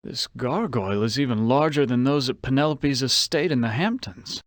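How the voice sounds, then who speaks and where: A male actor plays a suave, self-regarding con man in a video game. He has a mid-pitched voice and thinks aloud in a theatrical, brooding way, wary of what surrounds him. The sound is close and dry. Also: moderate pace, no room sound, natural voice. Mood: wary, suspicious. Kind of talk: video game character monologue.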